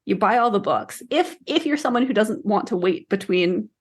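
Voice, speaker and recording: A woman's voice: mid-range voice, expressive, normal speed. A woman talking casually as a podcast co-host in a book discussion.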